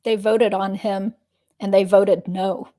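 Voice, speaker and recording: A woman acts as a guarded female witness in a legal deposition reading. Her voice is soft-edged and mellow, at a middling pitch. Answers come measured and matter-of-fact. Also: little pitch movement, lightly acted. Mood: guarded, factual. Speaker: reader playing a witness.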